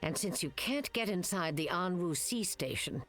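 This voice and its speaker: Low-voiced starship captain hologram, calm authority, swoopy delivery, loud game music behind. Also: female voice, normal speed. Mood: urgent. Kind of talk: video game cutscene acting.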